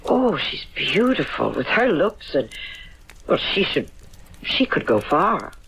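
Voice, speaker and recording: Flustered leading lady, unhurried. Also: sits low, very animated, creaky, a bit bright, exaggerated voice. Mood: polite, awkward. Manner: hesitant, breaking off and repeating herself. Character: earnest, flustered female film actress mistaken for queen. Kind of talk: old radio drama acting. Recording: noisy old radio recording.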